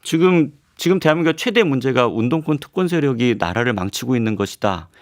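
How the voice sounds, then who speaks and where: A man speaking as a guest professor on a radio current-affairs program. He explains his points in a measured, analytical way and now and then pauses on fillers. The studio sound is close and dry. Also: mid-range voice, animated, no echo. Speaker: political science professor guest. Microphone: studio microphone.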